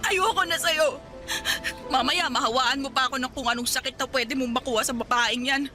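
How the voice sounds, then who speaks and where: A woman's voice: sits high, moderate pace, over music, natural voice. A woman playing a hurt, angry young girlfriend with a Filipino accent in a radio drama, tearfully accusing and questioning her cheating boyfriend. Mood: bitter, disgusted. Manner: accusing, pleading with repeated questions.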